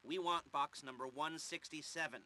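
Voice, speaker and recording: An actor playing a snarky, awkward middle-aged American criminal planner in a video game, rambling in a sardonic, nasal way. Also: male voice, fairly high pitch, moderate pace. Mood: wry briefing. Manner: rambling, sardonic, awkward muttered asides and chuckles. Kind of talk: video game cutscene dialogue. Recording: loud car engine and road noise.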